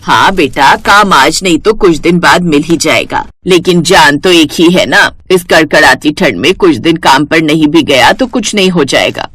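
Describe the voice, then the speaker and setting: Lady doing a poor old village granny for a cartoon, low voice, worried, caring, lamenting. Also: expressive, normal speed, hardly any pauses, fairly bright tone, breathy edge, dry recording, full character voice. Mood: consoling.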